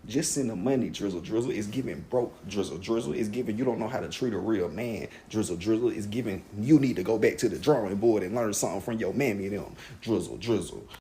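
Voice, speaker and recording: A man's voice: lowish voice, fast, hardly any pauses, dark tone, a little hiss or hum. A man delivering a sassy, demanding comic rant, punctuated by a repeated catchphrase. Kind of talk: comedic social media rant.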